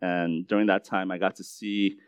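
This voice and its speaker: A man's voice: deep voice, flat delivery, normal speed, clean tone, dry recording. A middle-aged Korean American man sharing his story in a conversational sermon, often restarting his words. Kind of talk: sermon testimony.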